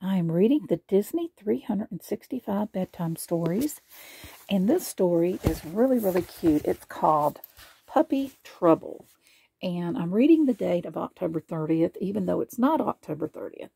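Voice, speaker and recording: A woman reads a children's storybook aloud with expression. Her voice is husky and gravelly, and its pitch moves around in a lively way. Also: moderate pace, no room sound, low-quality recording. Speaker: storybook reader.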